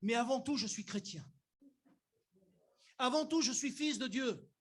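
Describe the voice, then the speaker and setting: A man's voice: very high voice, measured pace. A man from Algeria preaching a sermon in church, chatting directly with the congregation and building up to emphatic declarations. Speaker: pastor.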